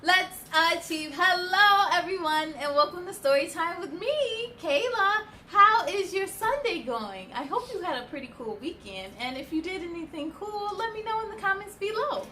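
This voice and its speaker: A woman's voice: very high voice, lively intonation, normal speed, hardly any pauses, pushed and bright, slight background noise. A woman hosting a children's read-aloud, enthusiastic and speaking straight to young listeners, with storybook reading and chatty asides.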